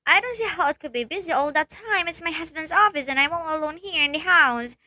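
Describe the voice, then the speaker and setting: Woman playing a very high-pitched, fretful wife. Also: fairly quick, bright, forceful tone, heavily acted. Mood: fretful, impatient. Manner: anxious, insistent, complaining. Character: nervous, fretful, demanding sickly housebound wife. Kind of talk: radio play acting.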